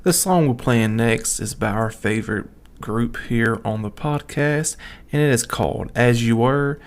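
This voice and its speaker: Male podcast co-host, creaky mid-pitched voice, plain-spoken casual storyteller. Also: measured pace. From small town in the southern US.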